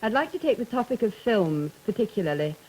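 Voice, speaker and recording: Young woman, husky and articulate. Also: mid-range voice, sing-song, a little fast, darkish tone, poor audio. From England. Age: late teens. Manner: crisp, articulate and opinionated. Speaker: student delegate.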